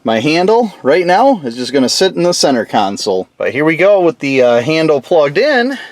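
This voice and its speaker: A man's voice: fairly high pitch, big pitch swings, moderate pace. A man hosting a DIY build video, talking informally and folksily through a casual hands-on demonstration. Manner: informal, folksy, mild slang. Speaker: host of a DIY truck build channel.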